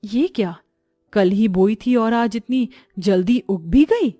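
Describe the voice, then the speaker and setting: A woman playing a kind village mother and wife in a cartoon story, speaking in a gentle, earnest way. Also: mid-range voice, expressive, takes it slowly, no room sound, clean studio sound, faint music behind. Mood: amazed.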